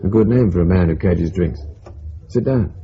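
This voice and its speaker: An actor playing a seasoned, middle-aged British intelligence agent in a radio drama, dry, urbane and understated. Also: male voice, deep voice, normal speed. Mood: dry, unruffled. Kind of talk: radio spy drama acting. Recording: dull, hissy radio drama recording.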